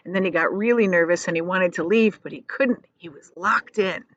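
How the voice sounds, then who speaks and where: A woman teacher reading a children's storybook aloud expressively, pausing to explain things in simple, casual words. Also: lowish voice, fairly bright tone, dry recording, dull audio.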